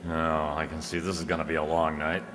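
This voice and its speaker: Deep male voice, bright and forceful, sardonic private detective played by an actor, radio drama, reverberant hall. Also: monotone, a little fast. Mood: probing. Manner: dry, sardonic. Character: wisecracking hard-boiled private detective, adult man. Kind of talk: old-time detective radio play acting and narration. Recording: echoey hall, long reverb.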